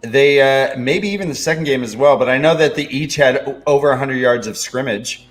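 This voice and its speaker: Male co-host, mid-pitched, easygoing, chatty about his team. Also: a little fast. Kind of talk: casual chat.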